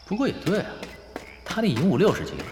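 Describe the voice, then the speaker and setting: A man's voice: sits high, wildly swooping pitch, music in the background. A man playing a laid-back, shrewd young pro gamer in an audio drama, talking casually and wryly. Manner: casual, unhurried, wry.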